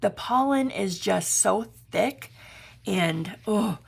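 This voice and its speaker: A woman from Georgia, hoarse and raspy from pollen, chatting her way through a crafting tutorial as a channel host. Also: mid-range voice, lively intonation, takes it slowly. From Georgia, USA. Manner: chatty, meandering, explaining while crafting.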